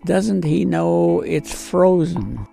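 An older man recalling past work in an interview, halting, deliberate and blunt. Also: fairly high pitch, lively intonation, creaky, over music.

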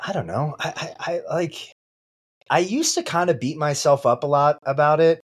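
A man's voice: normal speed, slightly rough. A man in his thirties in casual chat, teasing his friend and swinging his voice up when he mocks. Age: 30s. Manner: teasing, jumps high when mocking. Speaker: podcast guest. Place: Los Angeles.

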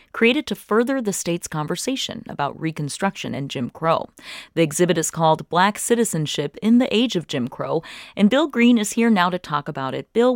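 Low-voiced woman hosting a radio interview. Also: no room sound, clean studio sound. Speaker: radio show host.

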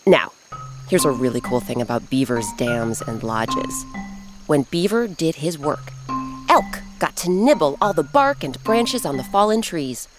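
A woman narrating a children's folktale as an expressive storyteller and host who addresses the listener. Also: sits low, a little slow, music in the background. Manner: animated, audience-addressing storyteller.

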